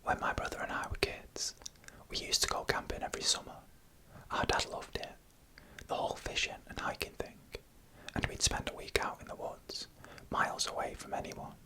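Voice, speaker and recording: A narrator telling stories in a soft, unhurried ASMR whisper, close to the microphone. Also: poor audio. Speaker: narrator of scary UFO encounter stories. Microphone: close microphone for headphone listening.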